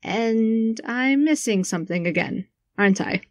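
A woman's voice: a little high, animated, unhurried, dry recording, clean studio sound. A woman playing a brash, sarcastic young woman posing as a planetary ruler in a science fiction audio drama, talking in breezy slang. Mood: eager, joking.

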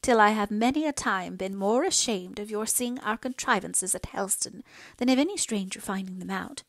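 A woman's voice: medium pitch, moderate pace, vocal fry, dry recording, natural voice. A woman voicing a frail, ailing mother in an audiobook, plaintive, anxious and easily displeased. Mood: wistful. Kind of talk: dramatised audiobook dialogue.

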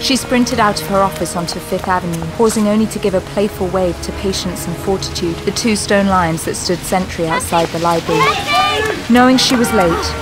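Lady narrator reading a story, medium pitch, music under it. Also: poor audio. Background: music bed.

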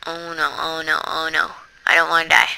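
A gamer giving rambling, chatty commentary over their own play, talking straight to viewers with sudden excitable outbursts. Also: medium pitch, moderate pace, creaky, clear, resonant tone, no echo, rough recording. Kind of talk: casual gameplay commentary.

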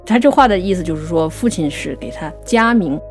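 A woman co-hosting a conversational talk on classical poetry, explaining things and reciting verse slowly. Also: on the low side, lively intonation, faint music behind. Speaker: writer and co-host.

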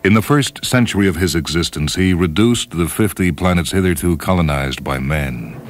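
A man with an extremely deep voice narrates a story as a radio host. His delivery is measured and solemn, declaimed like grand storytelling, with music playing behind him. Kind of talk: dramatic scripted storytelling narration. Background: orchestral and piano music.

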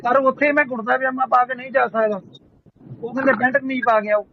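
A man's voice: very high-pitched, level tone, quick pace. A man debating on a phone call, explaining his points persuasively and addressing the other person respectfully. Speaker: caller debating a social media post. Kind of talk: argumentative phone conversation. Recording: muffled noisy phone call recording.

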